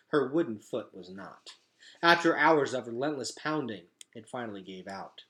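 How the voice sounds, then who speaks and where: Male voice, mid-pitched, storybook read-aloud, dramatic and emphatic, hushed drops.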